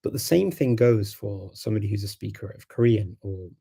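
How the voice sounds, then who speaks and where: A man's voice: fairly low pitch, animated, brisk, vocal fry, mellow, husky. A man whose first language is Macedonian, hosting a live stream and chatting casually in answer to viewer questions, thinking aloud with many ums and restarts.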